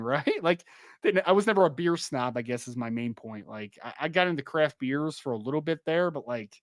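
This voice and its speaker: A man's voice: mid-range voice, no room sound. A young man hosting a podcast in casual chat, rambling and laughing easily, with a slightly worn voice. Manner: chatty, rambling, laughs easily, many restarts and "like". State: losing his voice a little. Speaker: podcast host. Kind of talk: casual live-stream podcast chat with chat readers.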